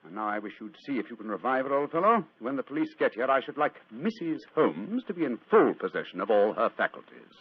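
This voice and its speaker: Male actor as British gentleman sleuth, theatrical, precise, very lively intonation, muffled vintage radio recording. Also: medium pitch, fast talker, few pauses, lightly acted. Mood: triumphant explaining. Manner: urbane, precise, theatrical, self-assured. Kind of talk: 1940s radio acting. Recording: dull, noisy old radio recording.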